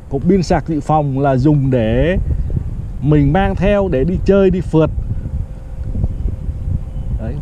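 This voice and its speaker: A man's voice: fairly high pitch, dark tone, a little hiss or hum. Chatty man reviewing gadgets. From Vietnam. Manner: chatty, explains step by step, fills with "đó" and "vâng". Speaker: product reviewer. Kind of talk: casual product review vlog. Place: rooftop terrace outdoors in a city. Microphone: clip-on lapel mic. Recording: slightly dull lo-fi outdoor sound.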